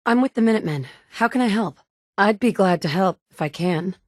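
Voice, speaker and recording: A woman's voice: medium pitch, expressive, a little fast, no echo, studio quality, lightly acted. An actress voicing a resolute adult woman survivor in a video game, firm and direct with a sarcastic edge. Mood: determined. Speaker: player character. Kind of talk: game voice acting, dialogue lines.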